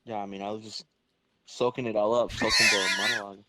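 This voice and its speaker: A man's voice: on the low side, level tone, fast talker, very noisy. A man co-hosting a podcast in casual, joking chat. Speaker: podcast co-host.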